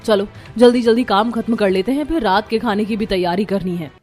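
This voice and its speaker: A woman playing an overworked, aggrieved young daughter-in-law in a cartoon family drama, speaking in an expressive, complaining way. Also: medium pitch, brisk, faint music behind, natural voice.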